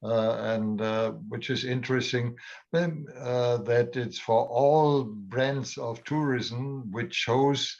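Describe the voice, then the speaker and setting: A man speaks slowly over muffled, lo-fi call audio. He greets everyone warmly and tends to repeat his words. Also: mid-range voice. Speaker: tourism club president. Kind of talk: casual remarks. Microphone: video call.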